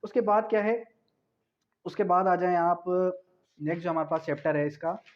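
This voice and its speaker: A man's voice: sits high, moderate pace. A man with a Pakistani accent giving an explanatory law lecture, didactic, posing questions and answering them himself, mixing in English terms. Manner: switches between Urdu and English terms. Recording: dull lo-fi lecture audio.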